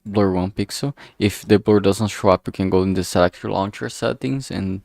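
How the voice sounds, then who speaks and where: The man you'd hear on a late art livestream, close and dry on the mic, murmuring his steps in a lowish voice as he draws. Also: gravelly, darkish tone, no room sound. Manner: halting, self-talking, mutters to himself. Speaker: streamer making visual novel art. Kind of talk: casual thinking aloud while drawing on stream.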